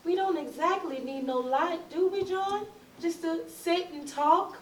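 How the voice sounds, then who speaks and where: A woman's voice: extremely high pitch, measured pace. A woman playing a guarded, wistful, unhappy forty-year-old in a readers theatre drama, speaking hesitantly in folksy phrases. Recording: roomy, distant, noisy recording.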